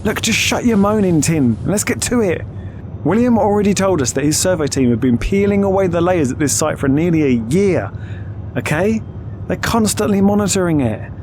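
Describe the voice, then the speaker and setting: Man playing a confident, higher-voiced crew leader. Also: expressive, moderate pace, darkish tone, a little hiss or hum. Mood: firm. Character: confident, breezy male spaceship crew leader. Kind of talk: audio drama character acting.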